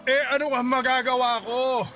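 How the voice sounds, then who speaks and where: Radio drama: bitter middle-aged dad played by a man, high sharp voice, defensive and demanding, music under. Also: level tone, moderate pace. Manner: defensive, grumbling. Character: bitter, demanding widowed father, jobless and hard-drinking. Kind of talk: Filipino radio drama acting.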